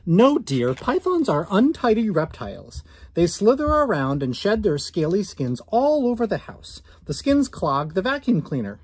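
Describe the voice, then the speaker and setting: A man's voice: high-pitched, sing-song, moderate pace, husky, voice pushed up, exaggerated voice. A man voicing a busy, firm, mildly exasperated mother in a storybook. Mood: firm disapproval. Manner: firm, reasoning.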